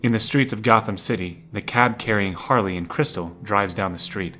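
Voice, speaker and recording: A man with a fairly low voice reads scripted drama narration. He sets out the action in the present tense, with faint music underneath. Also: moderate pace, bright, forceful tone.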